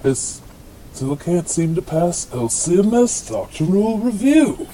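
An earnest husband, played by a man, gives a scripted testimonial in a radio parody. His voice is very mellow and fairly high, over faint noise and ambience. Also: very animated, takes it slowly, exaggerated voice. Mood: frustrated. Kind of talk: scripted radio comedy sketch.

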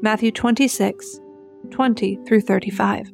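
A woman narrates scripture in a solemn, reverent way. The voice sits at a medium pitch and moves in measured phrases, with clear guitar music behind it. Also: fairly even intonation.

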